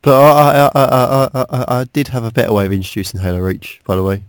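A man's voice: mid-range voice, fast talker, clean tone, no echo, studio quality. A man co-hosting a podcast with casual, jokey banter, often repeating words in stammering bursts. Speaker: podcast co-host.

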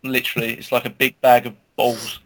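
A man's voice: mid-range voice, little pitch movement, brisk, poor audio. A man co-hosting a gaming podcast in casual chat, hesitant and stammering but blunt. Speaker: podcast co-host.

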